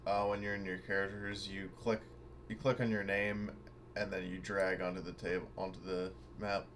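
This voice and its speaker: A man with a gravelly creak, chatting casually and jokingly as a player in an online tabletop game. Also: sits low, flat delivery, moderate pace, fairly bright tone. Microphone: online voice call. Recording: faintly noisy online voice chat.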